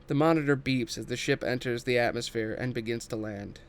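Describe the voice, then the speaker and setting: A man's voice: medium pitch, brisk, a little hiss or hum. A man narrating a screenplay's action and scene headings in a scripted table read.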